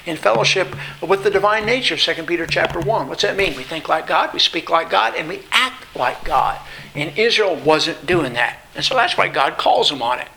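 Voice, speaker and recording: A man's voice: on the high side, vocal fry, noisy background. A man preaching an informal Bible lesson in a didactic, conversational way, posing questions and answering them, repeating phrases for emphasis. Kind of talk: informal expository sermon.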